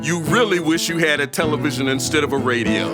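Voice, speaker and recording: A man performing a musical story live, animated and emphatic, with dramatic pauses. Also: on the high side. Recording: guitar music behind the voice. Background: acoustic guitar playing.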